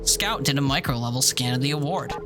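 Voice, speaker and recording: Man doing a measured robot sidekick, music behind. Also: medium pitch, lively intonation, close to an everyday voice. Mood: matter-of-fact.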